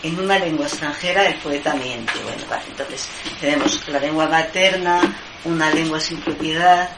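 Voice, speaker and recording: Woman, interviewer, low voice, halting, roomy echo. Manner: hesitant, many restarts. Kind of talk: literary interview. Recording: echoey room, noisy background.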